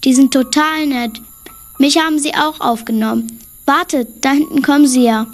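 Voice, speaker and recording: A woman's voice: high-pitched, steady tone, normal speed, lightly acted. A female performer playing an outspoken, eager young girl in an amateur audio drama. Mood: eager, curious.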